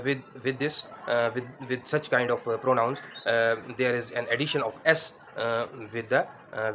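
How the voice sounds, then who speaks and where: Teacher in his thirties, mid-pitched, hesitant lesson delivery, close mic indoors. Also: fairly bright tone, slight background noise, low-quality recording. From Pakistan. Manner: hesitant, many fillers and restarts. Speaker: English teacher. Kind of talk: spoken grammar lesson. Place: indoors against a plain wall.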